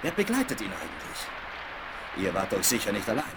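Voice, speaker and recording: Calm western hero, lowish voice, authoritative, measured, 1970s radio play, clearly noisy vinyl. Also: male voice. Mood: calm, practical. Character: calm, commanding, resourceful frontier hero, adult man.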